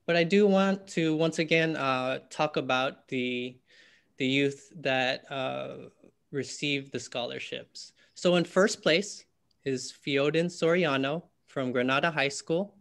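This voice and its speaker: A man's voice: medium pitch, takes it slowly, vocal fry, a bit bright. A young man hosting an awards ceremony over a video call, chatty and friendly with frequent fillers. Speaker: event host. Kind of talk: casual video call presentation.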